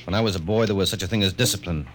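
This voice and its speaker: A man's voice: deep voice, very fast, a little hiss or hum, natural voice. An actor playing a stern, anxious army colonel and father in a 1940s radio drama, blustering and worried.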